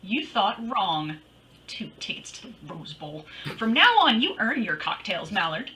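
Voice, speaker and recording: A woman's voice: mid-range voice, sing-song, measured pace, bright, forceful tone, distant mic, low-quality recording. An actress playing a witty, feisty woman detective in a 1940s radio drama, with a wry, teasing, dramatic delivery. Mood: brisk, teasing. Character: witty, feisty woman private detective narrating her case.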